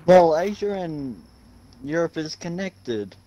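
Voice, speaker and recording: A man gaming with a friend over voice chat, deadpan and teasing as he jokes. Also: on the high side, slow pace, dull audio. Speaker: gamer, channel host. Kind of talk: casual gaming banter.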